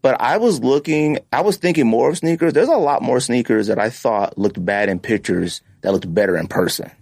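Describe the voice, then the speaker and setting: A man's voice: medium pitch, animated, normal speed, creaky, darkish tone, no room sound. An American man hosting a podcast in casual, rambling chat, often checking in with the listener as he goes. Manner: rambling and conversational, with frequent "you know". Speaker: podcast host. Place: podcast studio.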